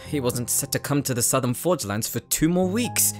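A man's voice: mid-range voice, lively intonation, moderate pace, over music, close to an everyday voice. A man playing a stern, proud forge master in a fantasy audio roleplay, speaking in a formal, clipped, haughty way. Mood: flustered. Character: stern, proud, uptight forge master, elder half-brother.

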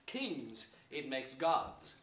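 A man's voice: medium pitch, sing-song, very slow, a bit bright, slight background noise, heavily acted. A young man playing a noble, hopeful commander rallying his troops in declaimed Shakespearean verse. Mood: rousing. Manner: theatrical, declamatory. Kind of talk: staged reading of Shakespearean verse. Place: theatre stage before an audience. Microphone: far camera. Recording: echoey stage, distant microphone.

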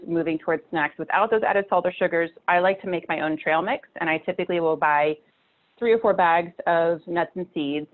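A woman in her thirties giving a casual nutrition talk over slides, chatty, with many fillers and restarts, from a small room at home. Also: low-pitched. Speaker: nutrition presenter. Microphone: video call webcam. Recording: tinny phone-like video call audio.

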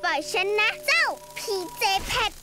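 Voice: normal speed, heavy background noise, close to an everyday voice. A performer voicing a sulky, lonely young girl villain in a children's cartoon dub, petulant, with music behind. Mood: grumpy.

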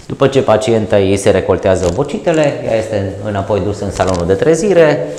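A middle-aged man with a Romanian accent, a doctor, explaining clinic procedures during a tour in a measured, hesitant way. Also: on the low side, lively intonation, warm tone. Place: clinic corridors and lab, indoors. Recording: echoey clinic rooms.

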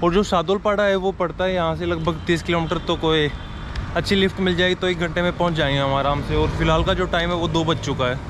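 A man's voice: on the high side, fairly even intonation, quick pace. A young man walking outdoors and talking casually about his travels, recorded on an action camera held at arm's length. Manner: chatty and curious, asking locals questions. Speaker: travel vlogger. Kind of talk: casual travel vlog narration. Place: outdoors on streets and in a market. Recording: outdoor street noise behind.

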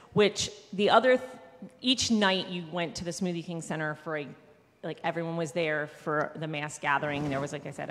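Casual woman reporting to her congregation, slightly low voice, rambling and self-correcting, long church reverb trailing behind her words. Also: fairly bright tone. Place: large church sanctuary.

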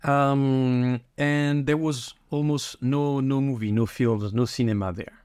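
A middle-aged man hosts a podcast interview in a medium-pitched voice. He speaks conversationally as he asks questions. The recording is dry, on a studio microphone. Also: fairly even intonation, slow pace, darkish tone, clear, resonant tone, no echo. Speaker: podcast host.

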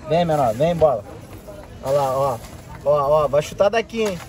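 A man with a Carioca accent vlogging from an outdoor flea market, talking excitedly in quick slangy bursts and exclaiming often. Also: a little high. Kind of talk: casual handheld market vlog. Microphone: phone camera held in hand.

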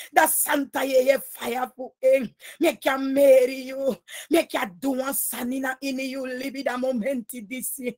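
A woman's voice: sits high, darkish tone. A woman praying in an impassioned charismatic style, pleading and crying out in repeated phrases. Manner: pleading, repetitive, often crying out. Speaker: person leading fervent prayer.

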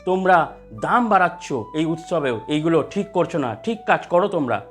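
A man plays an honest, principled fruit seller from a cartoon tale. He is calm and plain-spoken, in a slightly high voice with lively rises and falls. Music runs softly behind him. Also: moderate pace. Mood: firm.

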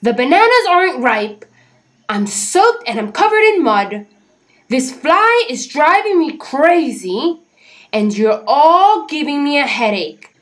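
A woman's voice: high-pitched, very animated, slow, pitched above the performer's own voice, heavily acted. A woman voicing a grumpy young male monkey in a storybook, snappy and full of complaint. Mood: grumpy, exasperated.

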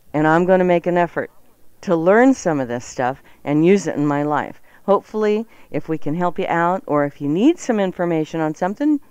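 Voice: mid-range voice, a little mellow, clean tone, no echo. A playful, teasing radio co-host who chats, jokes and reads out items for listeners. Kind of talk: chatty, joking radio banter and item reading.

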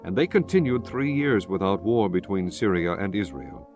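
Low-pitched solemn male Bible narrator. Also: lively intonation, moderate pace, music in the background. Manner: solemn, formal reading. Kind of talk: scripted dramatized Bible narration.

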